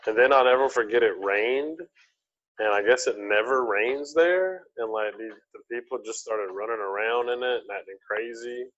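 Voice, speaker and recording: A man's voice: mid-range voice, normal speed, a bit bright. A man hosting a podcast, chatting loosely and telling rambling stories with hesitant restarts. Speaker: podcast host. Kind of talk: casual chat, rambling anecdotes. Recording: dull lo-fi recording.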